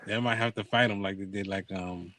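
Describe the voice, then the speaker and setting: A man's voice: on the low side, fairly even intonation, fairly quick, bright, forceful tone, no echo. Casual male storyteller, dry close sound. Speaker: podcast host telling a personal story.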